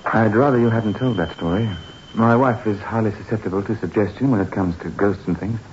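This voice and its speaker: An actor playing a sceptical young husband and writer in a 1960s radio horror drama, brisk and condescending. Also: male voice, fairly low pitch, darkish tone, close to an everyday voice. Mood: dismissive, reproving. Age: young adult. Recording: dull, noisy old radio recording.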